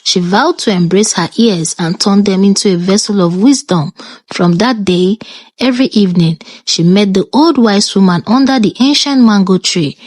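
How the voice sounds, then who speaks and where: A woman with a Nigerian accent narrating a scripted folktale in a dramatic, expressive manner. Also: mid-range voice, normal speed, no echo. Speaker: storyteller.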